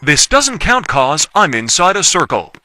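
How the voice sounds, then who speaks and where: Male gamer with a lively, higher-pitched voice. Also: measured pace, over music. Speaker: gaming video creator. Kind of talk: casual gameplay commentary.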